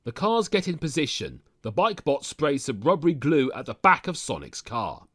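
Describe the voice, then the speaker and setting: Man narrating with lively, swinging pitch. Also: normal speed, slight background noise. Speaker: narrator of an animated-series recap. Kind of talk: scripted narration, retelling a cartoon episode.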